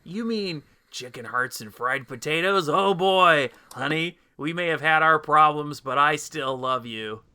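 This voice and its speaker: A man's voice: a little high, measured pace, few pauses, pushed and bright, smooth, exaggerated voice. A man playing a mild, naive, chatty husband in a comedic audio drama. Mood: delighted. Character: mild, oblivious husband, easily pleased, henpecked.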